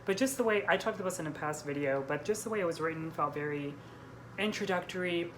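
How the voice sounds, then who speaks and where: A young woman with a notably low voice hosts a relaxed chat about books. She talks hesitantly, often backing up to correct herself. The recording carries clear background noise. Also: quick pace. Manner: hesitant, self-correcting, hedging. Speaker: book vlogger, host reading out questions. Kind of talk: casual book chat with a friend. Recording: clearly noisy room recording.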